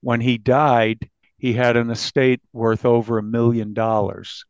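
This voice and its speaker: A mature American man with a gravelly voice, a reporter recounting a story in a conversational interview. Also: mid-range voice, flat delivery, unhurried, dry recording. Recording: dull, slightly lo-fi, dry.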